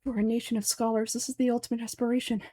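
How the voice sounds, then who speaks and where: A woman's voice: fairly high pitch, flat delivery, rapid-fire, mellow, no echo, natural voice. A woman voicing a pompous, fanatical older male sage from a game, speaking formally and in declamatory fashion. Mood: fervent. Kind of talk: game dialogue dub.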